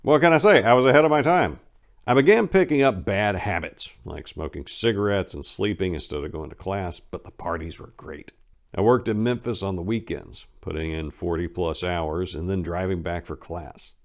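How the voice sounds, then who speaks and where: A man's voice: deep voice, animated, normal speed. An American man giving a dramatic reading of a comic first-person memoir in a wry, self-deprecating, conversational way. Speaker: memoir narrator.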